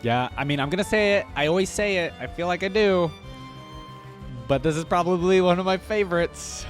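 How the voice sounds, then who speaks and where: A man's voice: high-pitched, a little fast. A man giving casual, enthusiastic reaction commentary as the host of a fan channel, speaking informally with slang. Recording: music playing behind the voice. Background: video game theme music.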